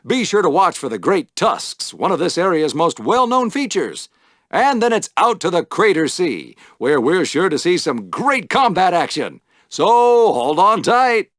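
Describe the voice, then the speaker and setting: An actor playing an upbeat, showy sci-fi podrace announcer in a video game, delivering wisecracking play-by-play commentary. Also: male voice, high voice, fairly bright tone, dry recording, studio quality, full character voice. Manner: showy broadcaster patter with wisecracks. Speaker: sports race announcer.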